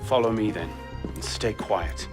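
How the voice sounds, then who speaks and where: An actor playing an earnest young assassin with a dry, courteous manner in a video game. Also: male voice, lowish voice, measured pace, over music, lightly acted. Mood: wary, curt. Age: young adult. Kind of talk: video game cutscene acting.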